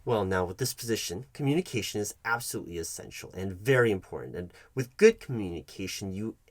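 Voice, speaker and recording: A man's voice: on the low side, animated, fast talker, no room sound. A man playing a pompous, bumbling job interviewer in a comedic roleplay, condescending and fussy, stammering and correcting himself. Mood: officious, explaining. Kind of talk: comedic ASMR roleplay addressed to the listener.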